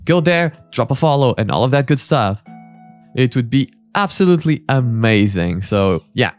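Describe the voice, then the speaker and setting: A man's voice: medium pitch, sing-song, moderate pace, soft background music. A man hosting a reaction video in a casual, rambling way, effusive and flowery, poking fun at himself. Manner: effusive, flowery, self-deprecating.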